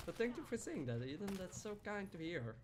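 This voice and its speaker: A man's voice: high-pitched, very animated, a little fast, noisy background. A young man chatting casually on a live stream while gaming, rambling through stories and answering chat by name.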